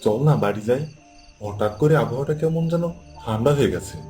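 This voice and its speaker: A man's voice: mid-range voice, lively intonation, moderate pace, mellow, faint music behind, lightly acted. An actor playing a timid, easily spooked young village man in a cartoon, speaking in an anxious, questioning manner. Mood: uneasy.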